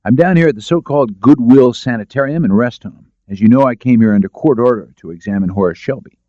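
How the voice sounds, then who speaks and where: Man acting a heavy-voiced, blunt, forceful doctor. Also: mid-range voice, fast, dry recording, lo-fi sound, voice pushed up, lightly acted. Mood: grave. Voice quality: heavy masculine voice. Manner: blunt, assertive. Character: indignant, forceful tall doctor in his forties.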